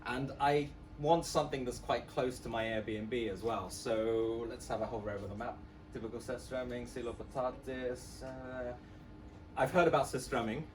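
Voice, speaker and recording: A man's voice: medium pitch, steady tone, moderate pace, background noise. A man giving a casual, chatty live walkthrough of an app as a student presenter, with plenty of fillers. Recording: voice from far off the mic.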